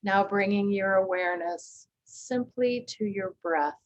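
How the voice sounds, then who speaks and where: A woman leading a guided body scan meditation, speaking gently and calmly with long, unhurried pauses. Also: medium pitch, slight background noise, low-quality recording. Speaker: meditation teacher.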